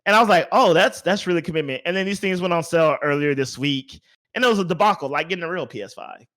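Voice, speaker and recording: A man's voice: fairly high pitch, fast talker, a bit bright. A man hosting a podcast in casual, opinionated chat, speaking emphatically and repeating words for emphasis. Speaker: podcast host.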